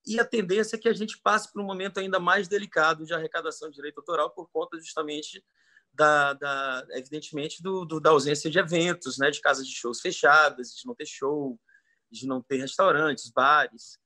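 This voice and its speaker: A man speaks on a video call from home, thoughtful and earnest, often restarting his phrases. His voice is slightly high and very bright. The sound is dry and close. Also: brisk, dry recording. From Salvador, Bahia, Brazil. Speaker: panel guest, composer. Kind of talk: online panel discussion. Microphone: computer mic on a video call.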